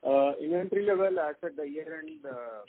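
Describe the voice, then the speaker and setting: An Indian man speaking as a company executive, giving a halting, factual report of figures. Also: on the high side, animated, no room sound. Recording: dry, muffled phone line.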